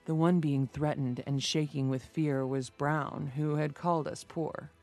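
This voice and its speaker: A woman's voice: deep voice, fairly even intonation, a little slow, a little mellow, soft background music. A woman narrating a scripted story.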